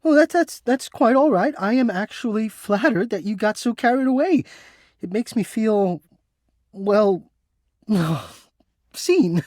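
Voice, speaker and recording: A man's voice: high voice, lively intonation, slow, darkish tone, dry recording, clean studio sound, heavily acted. An actor playing a shy, insecure, lovestruck male recluse in a video game, speaking hesitantly with stammers and formal, genteel phrasing. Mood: flustered, delighted. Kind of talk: expressive video game voice acting.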